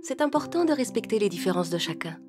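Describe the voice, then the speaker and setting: Cartoon stork, played by a woman: female, courteous, patient, gently reproachful, soft and measured, music underneath. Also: medium pitch, big pitch swings, lightly acted. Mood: gentle, forgiving. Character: female stork, polite, hurt but patient neighbour. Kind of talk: children's animated fable.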